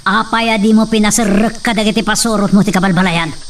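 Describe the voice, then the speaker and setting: Woman as scheming villain mother, imperious, goading, Ilocano Filipino accent, medium pitch, radio melodrama, distant mic, faint noise. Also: fairly even intonation, fast talker, natural voice. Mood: probing. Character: ruthless scheming mother of a villainous young master. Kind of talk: Ilocano radio-style drama acting.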